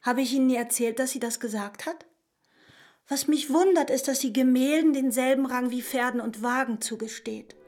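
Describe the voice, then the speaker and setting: An actress playing a playful, teasing young lover in a literary radio drama, with a theatrical manner. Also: female voice, sits high, normal speed. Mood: amused, teasing. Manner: playful, theatrical, declaiming verse. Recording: loud music behind the voice. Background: music with violin.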